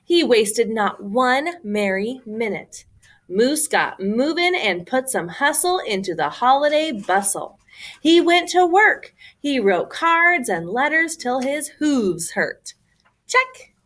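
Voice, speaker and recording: A woman tells a children's picture story in a fairly high voice. She reads at an unhurried pace with big swings in pitch, leaning theatrically on key words. The delivery is expressive and made for young listeners. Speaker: read-aloud storyteller. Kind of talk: animated children's picture-book read-aloud.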